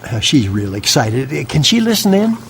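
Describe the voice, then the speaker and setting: An actor playing an excitable, folksy married man in a family audio drama, raspy and chatty in a flustered, stop-start way. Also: mid-range voice, moderate pace, noisy background. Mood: excited, flustered. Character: excitable, folksy husband on a morning phone call.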